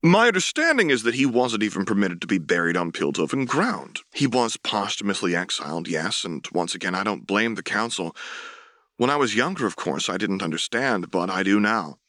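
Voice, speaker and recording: A man voicing an earnest, self-effacing young military officer in a formal, measured way for a dramatic audiobook. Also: on the low side, sing-song, fairly bright tone, no echo, clean studio sound. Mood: earnest, pained. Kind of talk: dramatic audiobook dialogue reading.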